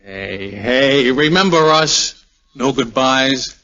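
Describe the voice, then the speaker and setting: A man's voice: mid-range voice, animated, slow pace, bright, forceful tone, exaggerated voice. An American man playing a tender, brave army major keeping bad news to himself, in 1940s radio drama style. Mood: subdued. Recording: muffled vintage 1940s radio recording.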